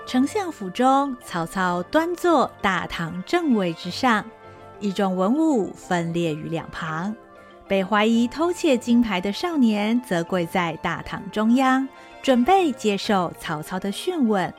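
A woman narrating a historical radio drama in an expressive storytelling manner. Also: mid-range voice, measured pace, soft background music.

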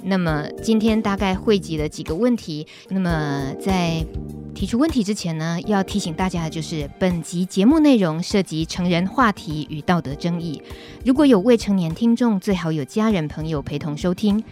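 A woman's voice: on the low side, animated, moderate pace, a little mellow, faint music behind. A woman with a Taiwanese Mandarin accent, hosting a live web radio show.

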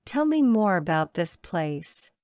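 A woman reading short English phrases for a language lesson, saying each one slowly and carefully twice. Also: fairly low pitch, big pitch swings, darkish tone, no room sound, studio quality. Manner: measured, careful enunciation. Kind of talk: slow repeated drill phrases.

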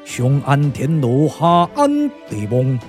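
An actor with a Taiwanese accent playing a solemn, weary strategist, speaking in measured, stately puppet radio drama style. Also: male voice, a little high, wildly swooping pitch, darkish tone, soft background music, heavily acted. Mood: grave.

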